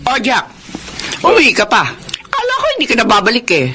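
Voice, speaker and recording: A woman acting a middle-aged, domineering Filipino mother-in-law with a quick temper and a blunt manner, in a radio drama. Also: fairly high pitch, wildly swooping pitch, sharp tone, heavily acted. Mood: gruff, demanding. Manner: scolding, blunt, exclaiming. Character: hot-tempered, domineering mother-in-law who slowly softens.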